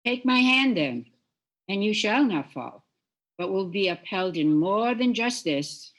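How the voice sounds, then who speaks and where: A woman playing a calm, gentle but commanding spirit in a radio play, with a measured, solemn delivery. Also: medium pitch, natural voice, poor audio. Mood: solemn, reassuring.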